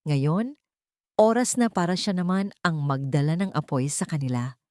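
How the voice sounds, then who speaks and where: Lower-voiced woman narrating dramatic stories. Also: lively intonation, normal speed, a little mellow, no room sound, studio quality. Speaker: story narrator.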